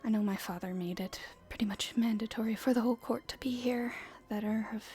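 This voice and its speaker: A woman playing a proper young princess in an ASMR roleplay, speaking formally and hesitantly in a soft, breathy half-whisper. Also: on the high side, steady tone, brisk, lightly acted. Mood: apologetic, uneasy. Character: proper young princess at her debut, stifled, secretly rebellious.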